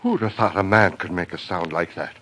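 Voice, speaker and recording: A man's voice: low voice, fairly quick, fairly bright tone. An actor playing a shaken, earnest man in an old-time radio drama. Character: shaken man recounting a fatal mistake. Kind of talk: 1940s radio drama acting. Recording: old radio recording, faint noise.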